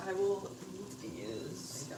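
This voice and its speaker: A woman cooking in a home kitchen alongside a stream host, easygoing and quick to apologize. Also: medium pitch, big pitch swings, very noisy. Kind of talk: casual cooking chat. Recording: distant from the mic over loud frying. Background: loud sizzling and frying.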